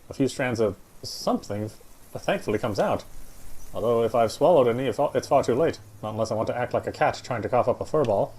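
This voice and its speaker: A man's voice: mid-range voice, lively intonation, fairly quick, darkish tone, slightly rough. A man reading first-person visual novel narration aloud.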